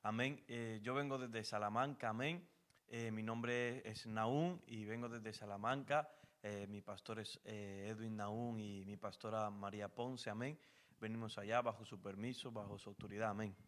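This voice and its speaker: A young man with a low-pitched voice is a guest on a church program. He answers earnestly and pauses often on fillers, putting amens between his sentences. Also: steady tone, a bit bright. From Honduras. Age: young adult. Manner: earnest, frequent amens and hesitations.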